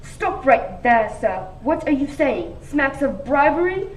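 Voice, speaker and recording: A woman's voice: high voice, sing-song, a little slow. A woman playing a self-important, crooked police inspector in a comic radio mystery, declaiming pompously. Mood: pompous, indignant. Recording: echoey room, distant mic, faint noise.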